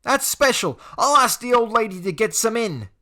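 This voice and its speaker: A man's voice: very high voice, big pitch swings, normal speed, sharp tone, voice pushed up, exaggerated voice. A man voicing a boisterous teenage boy who banters with his friends, in an audiobook. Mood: bantering. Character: loud joking teenage boy crony.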